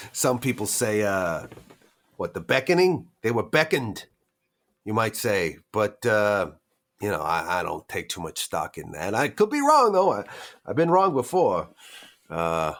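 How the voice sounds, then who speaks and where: Guy doing a nervous streetwise vampire in an rpg, hedges everything, humble, pitch jumps around a lot. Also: very animated, fairly bright tone, full character voice. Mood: hedging. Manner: halting, hedging, streetwise folksy talk. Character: nervous, hesitant, self-deprecating male vampire informant. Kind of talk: improvised in-character roleplay.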